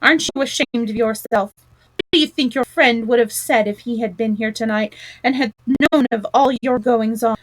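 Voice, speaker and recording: A woman's voice: fairly high pitch, moderate pace, dry recording, lightly acted, poor audio. A woman voicing a stern, wise old male badger in a storybook, speaking severely. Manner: severe and commanding.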